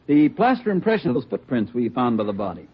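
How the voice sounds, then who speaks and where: An actor playing a brisk, wry male crime lab technician with a husky voice in an old radio police drama. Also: medium pitch, very animated, very noisy, close to an everyday voice. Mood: businesslike. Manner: wry, businesslike. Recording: muffled old radio recording.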